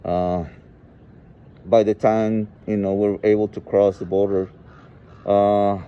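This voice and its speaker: A man in his forties from El Salvador with a Salvadoran Spanish accent, telling his personal story informally and haltingly, often saying "you know". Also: lowish voice, flat delivery. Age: 40s. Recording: muffled, with clear background noise.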